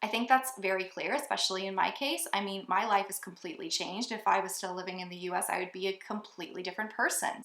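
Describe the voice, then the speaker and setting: A woman's voice: mid-range voice, a bit bright, roomy sound. A woman in her twenties with an American accent, giving personal advice to viewers in a vlog. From United States. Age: 20s. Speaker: vlogger.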